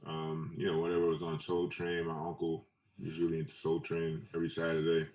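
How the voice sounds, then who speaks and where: A man from Trinidad and Tobago talks casually as an interviewed photographer, in a halting, laid-back way that repeats phrases. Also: rumbling low, completely flat delivery, a little mellow. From Trinidad and Tobago, later Brooklyn. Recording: roomy echo, distant mic.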